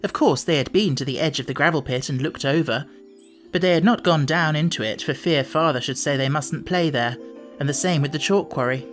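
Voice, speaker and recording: A man's voice: on the high side, fairly quick, a bit bright, soft background music. A man reading a children's audiobook as scripted storybook narration.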